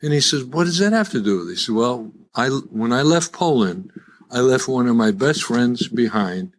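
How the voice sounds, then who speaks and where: An elderly man with a Chicago accent speaks to a live meeting in a medium-pitched voice. He tells his own story in a folksy, conversational way, with a wry, joking touch. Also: animated, moderate pace. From Chicago, USA. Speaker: guest speaker at a recovery meeting. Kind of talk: live personal testimony with jokes. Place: live in-person meeting before an audience.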